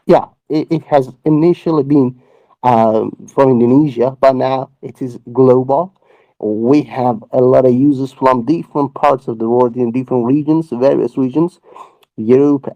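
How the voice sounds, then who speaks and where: Hesitant man with a dark, dry voice. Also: mid-range voice, dry recording, low-quality recording. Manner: hesitant, many fillers and restarts. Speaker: project team member answering questions. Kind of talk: casual voice-chat Q&A.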